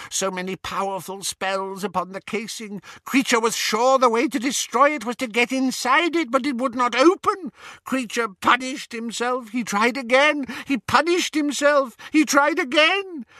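A man's voice: very high-pitched, bright, forceful tone, no room sound, pitched above the performer's own voice, heavily acted. A man voicing an elderly male house-elf, servile and grief-stricken, crying and stammering through a wail. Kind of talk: audiobook character voice.

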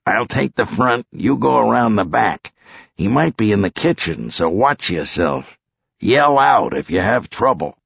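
A man voicing a brash, gruff police boss with a commanding, sardonic manner in an audiobook. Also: lowish voice, expressive, unhurried, fairly bright tone, dry recording, muffled recording, voice pushed up, heavily acted.

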